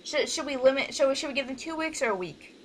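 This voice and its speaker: A woman's voice: high voice, lively intonation, quick pace, crowd noise. A woman leading a casual online group meeting, talking informally through instructions step by step. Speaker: organizer leading a voice project meeting.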